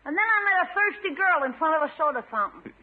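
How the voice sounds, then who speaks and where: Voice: extremely high pitch, expressive, fast talker. An actor playing a cheeky, skeptical, wisecracking young nephew in a 1950s radio sitcom. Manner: wisecracking, teasing, slangy. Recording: muffled, noisy old radio recording, audience behind.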